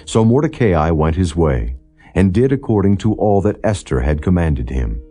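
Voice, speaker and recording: Deep-voiced male scripture narrator, slightly husky. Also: big pitch swings, normal speed, warm tone, faint music behind. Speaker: audiobook narrator. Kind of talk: solemn scripture reading, non-dramatized.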